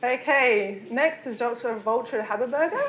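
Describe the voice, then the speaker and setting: Fairly high-voiced woman welcoming new students, lots of ums, echoey lecture room. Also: moderate pace, fairly bright tone, a little hiss or hum. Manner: chatty, many fillers. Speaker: midwifery lecturer.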